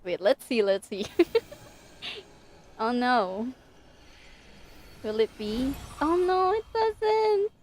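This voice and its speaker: A woman's voice: fairly high pitch, expressive, extremely slow, long pauses, a little hiss or hum. A woman livestreaming a game, reacting casually and emotively to the story. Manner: emotive, soft-hearted reacting.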